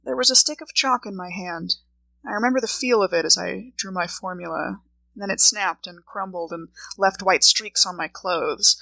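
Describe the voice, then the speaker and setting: A woman's voice: medium pitch, vocal fry, sharp tone, no room sound, low-quality recording. A woman playing a troubled dreamer in a dramatic first-person monologue, brooding and precise.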